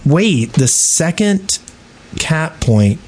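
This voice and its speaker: A man's voice: on the high side, very animated, very slow, a little mellow, a little hiss or hum. A man co-hosting a podcast in casual, joking gaming chat and reading out listener mail. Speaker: podcast co-host.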